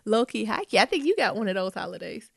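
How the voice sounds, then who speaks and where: A woman's voice: fairly high pitch, quick pace, gravelly, breathy edge, no room sound. A woman co-hosting a podcast, a little congested, talking frankly in casual chat and acting out quoted people as she tells stories. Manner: frank, animated storytelling with quoted voices. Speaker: podcast co-host.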